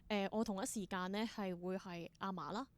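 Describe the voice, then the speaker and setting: A woman's voice: mid-range voice, steady tone, fairly quick. A woman with a Hong Kong Cantonese accent chatting as an interview guest, telling stories with enthusiasm and dropping in English words. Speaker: interview guest, independent theatre performer. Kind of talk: casual interview chat.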